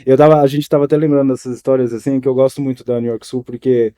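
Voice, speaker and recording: A Brazilian man in his forties with a Brazilian Portuguese accent, a podcast guest recalling his school days, talking in a hesitant, relaxed way with many restarts. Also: mid-range voice, fast talker, smooth. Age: 40s. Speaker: guest, former student. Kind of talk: casual interview chat.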